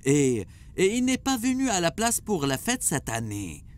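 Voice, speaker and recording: Man playing an animated, deferential cartoon townsman. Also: high-pitched, unhurried, a little hiss or hum, heavily acted. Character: deferential, tale-bearing townsman informing his master. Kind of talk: dubbed religious cartoon acting.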